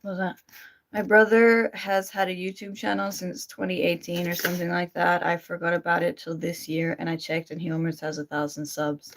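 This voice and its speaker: A woman's voice: lowish voice, flat delivery, a little fast. A woman with an Australian accent tinged with American, chatting informally and self-deprecatingly on a livestream as she answers comments. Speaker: streamer and amateur voice actor. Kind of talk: casual livestream chat, reading and answering comments.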